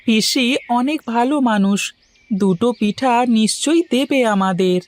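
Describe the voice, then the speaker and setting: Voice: mid-range voice, moderate pace, lightly acted. A voice actor playing an easygoing young village man in a cartoon, chatting good-naturedly. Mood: chatty. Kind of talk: cartoon voice acting.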